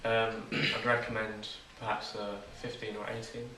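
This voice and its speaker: An eighteen-year-old man answering interview questions in a measured, tentative way. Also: on the low side, completely flat delivery, pushed and bright, a little hiss or hum. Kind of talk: casual answers. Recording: echoey room, far from mic.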